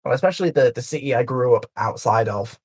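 A young man from Yorkshire with a light Yorkshire accent, chatting casually as a guest, soft-spoken and a bit hesitant. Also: medium pitch, animated, fast, dry recording, clean studio sound. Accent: light Yorkshire English. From Yorkshire, England. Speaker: speedrunner guest. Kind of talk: casual chat.

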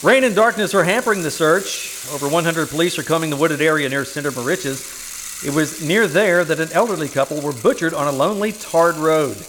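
A man's voice: on the high side, fairly bright tone, lightly acted, rough recording. A man acting a grave, formal newsreader giving a bulletin in an old-time radio suspense play. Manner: formal, grave, measured announcing. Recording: live recording with faint noise.

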